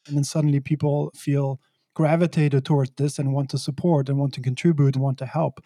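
A middle-aged man from Belgium, speaking as an interview guest in a reflective, measured way with occasional laughs. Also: mid-range voice, level tone, warm tone, no room sound, clean studio sound. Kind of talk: conversational interview.

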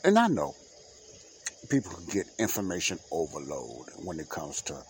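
Very deep-voiced congested man rambling. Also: wildly swooping pitch, normal speed, slight background noise. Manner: rambling, filler-heavy, repeats himself. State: congested, stuffy nose. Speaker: podcast host. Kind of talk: unscripted solo podcast talk. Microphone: home podcast mic, unedited.

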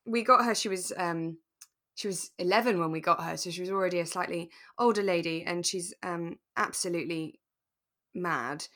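A British woman with a slightly low voice co-hosts a relaxed podcast. She talks in a casual, conversational style, stressing words with animation and a giggly streak. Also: normal speed. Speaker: podcast co-host. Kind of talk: relaxed casual chat about a radio drama.

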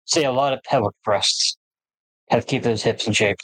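A man's voice: mid-range voice, moderate pace, a bit bright, no room sound, rough recording. A man in his late twenties, co-hosting a casual podcast chat, laughing often while he jokes and reminisces. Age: late 20s. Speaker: podcast co-host.

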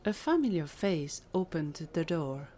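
A woman's voice: low voice, very animated, measured pace, dark tone. A woman reading scripted narration for an audio drama. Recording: clearly noisy background ambience.

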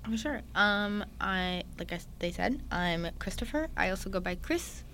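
A young man, a guest in a casual chat, talking openly and at length with lots of fillers and animated retellings. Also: high-pitched, normal speed, slight background noise. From Newfoundland, Canada. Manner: chatty and open, many "like" fillers, animated retellings.

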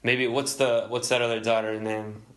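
A man's voice: medium pitch, flat delivery, moderate pace, natural voice. A man playing a cocky, slippery little sidekick in improvised comedy banter, smug and needling but hesitant.

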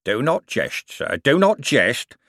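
A man's voice: mid-range voice, sing-song, a bit bright, dry recording, clean studio sound, voice pushed up, heavily acted. Man playing a wheedling, sanctimonious old con-man preacher. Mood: pious wheedling. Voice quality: mushy speech with whistling false teeth. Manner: solemn, drawn-out, wheedling. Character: old male con man posing as pious, repentant preacher.

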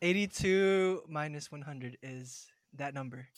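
A man's voice: fairly high pitch, unhurried, no room sound. A young man in casual chat with friends on a podcast, joking, laughing often and rambling. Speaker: podcast guest.

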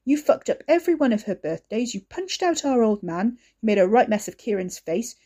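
A woman reading an audiobook, voicing a protective young man who shouts angry accusations. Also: mid-range voice, sing-song, normal speed, slightly rough. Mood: furious.

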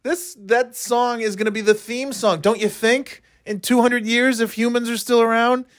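A middle-aged man with a slightly stuffy nose, hosting a rambling solo chat, meandering and breaking off mid-thought, then getting playfully excited. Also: very high voice, normal speed, no echo, clean studio sound. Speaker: podcast host.

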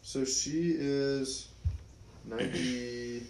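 A young man talking casually on a podcast, rambling through half-remembered facts and restarting his sentences. His voice sits at an ordinary pitch. The room around him is echoey, with a faint hiss underneath. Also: fairly even intonation, extremely slow, a little mellow. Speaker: podcast co-host. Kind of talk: casual chat. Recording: roomy echo.

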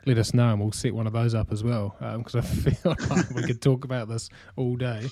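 Laid-back New Zealand man, mellow voice. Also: medium pitch, brisk, no echo, studio quality. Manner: relaxed, many "um" fillers, easy laughter. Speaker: podcast co-host. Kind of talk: casual podcast chat.

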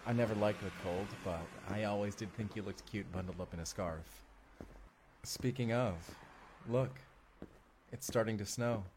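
A man's voice: lowish voice, normal speed, background noise, lightly acted. A man playing an affectionate, teasing husband from the future in an intimate audio roleplay. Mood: tender, teasing.

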